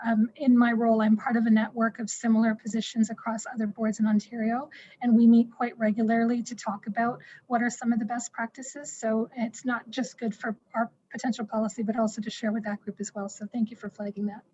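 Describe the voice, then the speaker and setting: A woman on school board staff explaining a human rights policy in an online meeting, measured and explanatory with frequent fillers. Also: a little high, dark tone, dull audio, a little hiss or hum.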